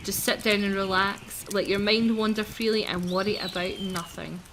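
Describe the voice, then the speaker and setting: A woman voicing a wistful, lonely young servant heroine in a fairy-tale game, with a musing, expressive delivery. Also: medium pitch, moderate pace, natural voice. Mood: weary, resentful. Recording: noisy, game birdsong behind the voice.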